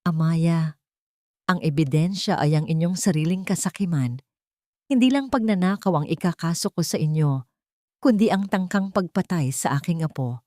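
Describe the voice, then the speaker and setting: A woman voicing a frail but stern elderly grandmother who speaks in a cold, accusing way, in a low voice. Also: warm tone, no echo, clean studio sound, lightly acted. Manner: icy, accusing. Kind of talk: audio drama narration.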